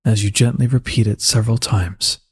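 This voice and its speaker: Deep, husky man guiding meditation. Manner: calm, unhurried, soothing instruction. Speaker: meditation guide. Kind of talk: slow guided meditation narration.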